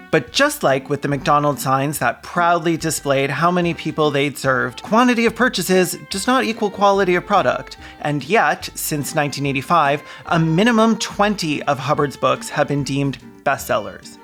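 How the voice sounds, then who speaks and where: A man with a somewhat higher-pitched voice delivers scripted commentary as a host. His manner is sardonic and dryly witty, like a video essayist making a pointed case. Faint music plays underneath. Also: fairly bright tone. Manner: sardonic, dry wit.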